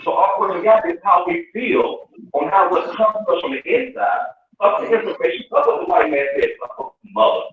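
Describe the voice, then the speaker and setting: Man, high voice, rambling and repetitive, heard over a thin echoey call from afar. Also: a little fast. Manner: rambling, repeats himself, swears. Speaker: podcast participant. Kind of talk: casual group discussion. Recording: distant, echoey, phone-like line.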